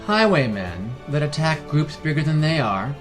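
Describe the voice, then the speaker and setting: A man's voice: a little high, sing-song, normal speed. A man voicing a bold, confident female adventurer with a wry manner in a fantasy story. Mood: wry teasing. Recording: music behind the voice.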